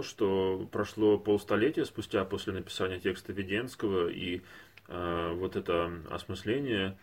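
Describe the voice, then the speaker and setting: Male panelist, very low register, analytical and intellectual, measured delivery with halting phrasing. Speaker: podcast co-host or panelist. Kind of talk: unscripted analytical discussion.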